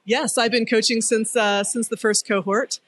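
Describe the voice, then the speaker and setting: A woman's voice: on the high side, steady tone, moderate pace, dry recording. A woman speaking conversationally as an accelerator coach being interviewed.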